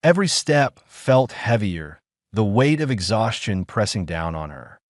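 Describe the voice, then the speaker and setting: Lower-voiced man narrating with lively inflection. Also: a little slow, no room sound, studio quality. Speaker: audiobook narrator. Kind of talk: scripted story narration.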